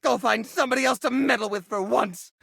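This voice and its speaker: A man's voice: very high voice, fairly quick, creaky, pushed and bright, breathy edge, no echo, studio quality, exaggerated voice. A man playing a neurotic, possessive hoarder, a junk drawer come to life in a video game, with a theatrical, whiny, defensive delivery. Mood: bitter, accusing. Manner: theatrical, whiny, defensive, stammering when upset. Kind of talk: video game character voice acting.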